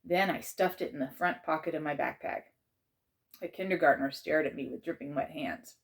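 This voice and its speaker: A woman's voice: deep voice, moderate pace. A woman narrating a children's chapter book aloud as its author and a teacher.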